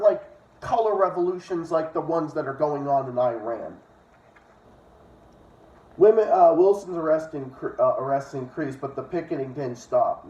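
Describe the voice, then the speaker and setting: A man's voice: high-pitched, mellow. Echoey, distant-sounding man reading aloud. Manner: opinionated, profane asides. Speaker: online reader and commentator. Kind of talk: reading a book aloud with ranting commentary. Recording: echoey room, distant dull microphone.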